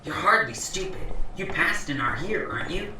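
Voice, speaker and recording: A clever, observant young boy played by a woman with a lowish but lively voice. The manner is calm and matter-of-fact in audio drama style, with very strong echo. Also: normal speed, noisy background. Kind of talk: family audio drama acting. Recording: very echoey, distant, faint music.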